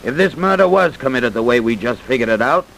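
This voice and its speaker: An actor playing a plain-spoken, sceptical police officer with folksy speech in an old radio drama. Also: male voice, mid-range voice, lively intonation, fairly quick, creaky, a bit bright, low-quality recording. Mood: sceptical, matter-of-fact. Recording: hissy old radio recording.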